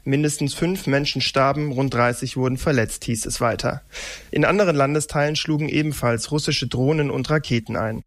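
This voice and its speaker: Dry-sounding male news reporter, mid pitch. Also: steady tone, moderate pace, no echo.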